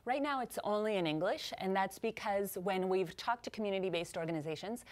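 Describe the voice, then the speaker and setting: Upbeat woman in a TV studio. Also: medium pitch. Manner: upbeat, explanatory, quick to agree. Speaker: immigration policy guest. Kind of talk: studio interview. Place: TV news studio.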